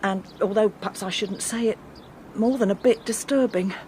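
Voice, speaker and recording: A woman's voice: medium pitch, darkish tone, slight background noise, natural voice. A woman playing a scheming, politely insinuating middle-aged mother-in-law in a radio drama. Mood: feigned concern. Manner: genteel, deliberate, insinuating. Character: scheming older mother-in-law, outwardly polite, quietly sly.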